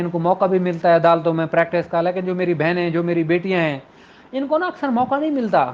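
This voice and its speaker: A middle-aged man with a Pakistani accent giving an informal, explanatory law lecture in a conversational, familiar way. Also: sits high. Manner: conversational, addresses listeners as his children and siblings. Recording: dull lo-fi audio.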